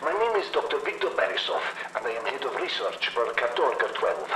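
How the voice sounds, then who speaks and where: A man's voice: mid-range voice, big pitch swings, a little fast, fairly bright tone. An actor playing a proud Soviet research scientist, formally presenting his experiments in a 1950s archival film. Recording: old film soundtrack, roomy, distant, faint noise.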